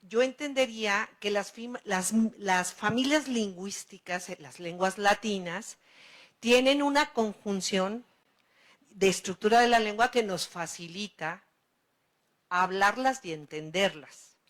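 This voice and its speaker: A woman's voice: medium pitch, a bit bright. Woman posing a thoughtful question. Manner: thoughtful, builds her point slowly. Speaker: audience member asking a question.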